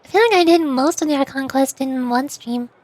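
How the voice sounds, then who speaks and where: A woman's voice: sits high, brisk, a little mellow, no room sound. A woman livestreamer chatting casually over a game in a playful, theatrical way. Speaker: vtuber streamer.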